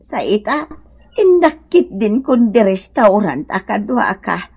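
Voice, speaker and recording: A woman's voice: medium pitch, sing-song, slow, darkish tone, slightly rough, full character voice. A woman with an Ilocano accent playing a stubborn, plaintive old beggar mother in a radio drama, exclaiming and wailing. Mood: protesting.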